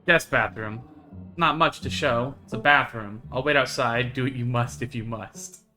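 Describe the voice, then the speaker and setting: A man's voice: mid-range voice, moderate pace, sharp tone, faint music behind, lightly acted. A man voicing a curt, haughty woman from the country in a visual novel, clipped, dry and condescending. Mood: dry, impatient. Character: curt, haughty, possessive country woman showing a guest around. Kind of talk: reading visual novel dialogue aloud.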